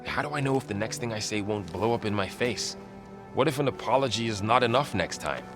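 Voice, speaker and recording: Man acting an earnest, animated young warrior. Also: lowish voice, brisk, over music, lightly acted. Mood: anxious, wry. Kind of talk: naturalistic video game acting.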